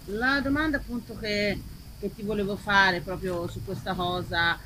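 A woman's voice: medium pitch, fairly even intonation, moderate pace, bright, forceful tone, slight background noise, rough recording. A woman in the audience asking an informal, unrehearsed question at a public talk.

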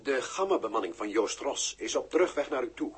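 A man's voice: medium pitch, very animated, brisk, slightly rough. An actor playing an uneasy, deferential henchman reporting hesitantly to his superior in an old radio drama. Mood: nervous, surprised. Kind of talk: 1960s radio drama acting.

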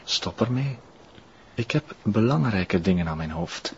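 Low-voiced menacing Flemish cultist. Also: male voice, animated, a little slow, husky, muffled recording, exaggerated voice. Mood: cold, menacing. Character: menacing, self-important young male cult follower. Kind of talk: tense TV drama dialogue.

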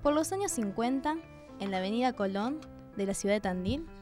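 A woman's voice: fairly high pitch, animated, fairly quick, darkish tone. An Argentine woman reading scripted story narration for a radio drama. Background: music behind the voice.

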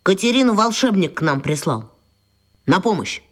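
A woman's voice: mid-range voice, big pitch swings, normal speed. A woman playing a brash, touchy schoolboy in a children's radio drama. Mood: breezy. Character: brash, touchy schoolboy around ten years old.

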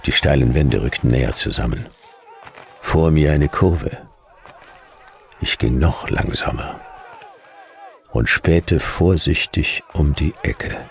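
A laconic private eye narrating a science-fiction radio play. The voice is extremely low and gravelly, delivered slowly in clipped deadpan phrases. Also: male voice, slight background noise, full character voice. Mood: terse, brooding. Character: laconic, hard-boiled male detective narrator in sci-fi desert. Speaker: narrator and lead actor. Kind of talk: noir first-person radio drama narration.

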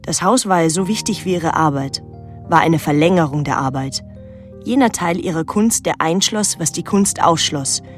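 An actress playing a reflective daughter who narrates a literary radio drama in a measured, introspective way. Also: female voice, lowish voice, animated, faint music behind, close to an everyday voice. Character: reflective daughter recalling her reclusive painter mother.

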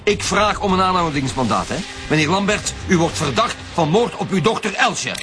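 A man's voice: high voice, lively intonation, moderate pace, over music. A man playing a stern, probing Flemish police detective in a naturalistic radio drama, speaking formally. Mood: accusing.

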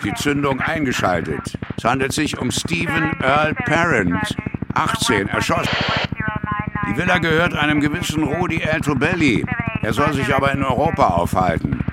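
An actor playing a matter-of-fact police sergeant giving a clipped crime scene report in a German radio play. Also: male voice, medium pitch, very noisy, close to an everyday voice.

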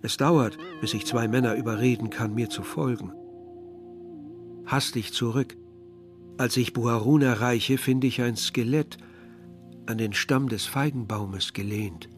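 An actor playing a young villager who tells his story in a measured, literary way, as narration in a radio drama. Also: medium pitch, steady tone, natural voice. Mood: dreamlike, troubled. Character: young Algerian villager narrating a grim search for remains. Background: music.

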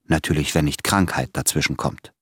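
An actor playing an earnest, insecure man in love in a radio play, speaking carefully and haltingly. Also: very deep voice, fairly bright tone, husky, heavily acted. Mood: tender hope. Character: earnest, insecure lover pleading with his beloved. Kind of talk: intimate German radio play dialogue.

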